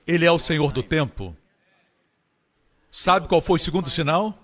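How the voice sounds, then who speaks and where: A man preaching a sermon with emphatic delivery, climbing high in pitch on key phrases. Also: normal speed, a bit bright, long reverb tail. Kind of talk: Portuguese-dubbed sermon.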